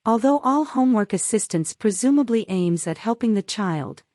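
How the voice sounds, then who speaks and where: A woman reads a prepared text in a soft-toned, mellow voice of medium pitch. She sounds formal and measured, with no room sound around her. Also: expressive, no room sound, clean studio sound. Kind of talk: scripted read-aloud of an exam practice passage.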